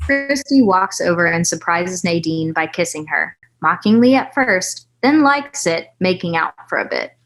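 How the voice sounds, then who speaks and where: A woman narrates a screenplay at a table read in a slightly low voice. She reads out scene headings and action descriptions in a scripted narration style. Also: sing-song, normal speed, hardly any pauses, poor audio. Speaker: stage-direction narrator.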